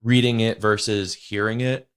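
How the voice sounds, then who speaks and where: A young male podcast host with a slightly low voice talks loosely and rambles. He leans on "you know" and "like" as he works toward his questions. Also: steady tone, moderate pace, smooth. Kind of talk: casual interview chat.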